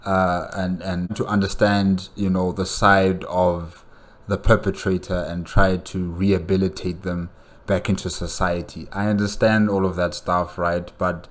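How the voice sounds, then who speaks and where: A man with a fairly low voice gives casual reaction commentary. He talks haltingly, repeating himself while he looks for the next word. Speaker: reaction video host.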